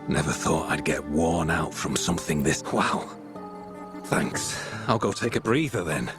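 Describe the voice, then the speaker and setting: An actor playing a worn-out camp worker in a video game, tired and sighing. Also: male voice, very deep voice. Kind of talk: video game cutscene acting.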